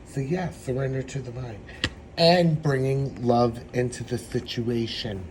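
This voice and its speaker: A man with a hoarse, troubled throat giving a meandering, reflective spiritual tarot reading. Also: medium pitch, a little mellow, slight background noise, rough recording. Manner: meandering, reflective, slow-spoken. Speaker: tarot reader.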